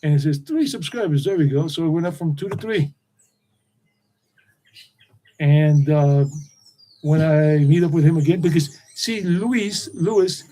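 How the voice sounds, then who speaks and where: Meandering man, mellow voice. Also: a little high, rough recording. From United States. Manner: meandering, repeats himself. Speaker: tour guide and channel host. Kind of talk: rambling live stream chat.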